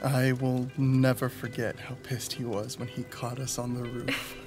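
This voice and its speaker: A man playing a sentimental, grieving detective who is quietly self-deprecating, in an audio drama. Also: medium pitch, little pitch movement, a little slow, over loud music, lightly acted. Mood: nostalgic.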